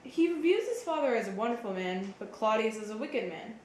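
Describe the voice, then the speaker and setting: Woman as a patient explainer chatting through shakespeare, highish voice, echoey room with a bit of hiss. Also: expressive, a little fast, a little mellow, natural voice. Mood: thoughtful. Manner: explanatory, casual. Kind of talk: conversational literary commentary. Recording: roomy echo, faint background noise.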